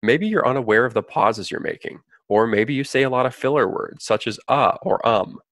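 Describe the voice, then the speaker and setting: A man's voice: medium pitch, normal speed, fairly bright tone, no room sound, clean studio sound. A man giving scripted, explanatory narration for a training video. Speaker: narrator of a flight training promo.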